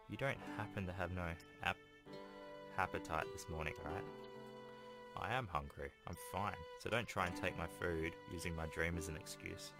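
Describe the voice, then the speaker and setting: Man, low pitch, visual novel read-along, informal commentary, clear background game music. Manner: informal, makes offhand asides between read passages. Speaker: gaming video host. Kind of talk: reading a visual novel aloud with casual commentary. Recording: game music playing behind the voice.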